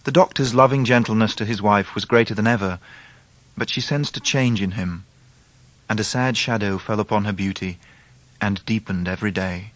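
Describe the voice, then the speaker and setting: An actor playing a reflective grown man who narrates his own life in a literary radio drama, with an English accent and measured delivery. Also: fairly low pitch, fairly even intonation, a bit bright, no echo, lightly acted.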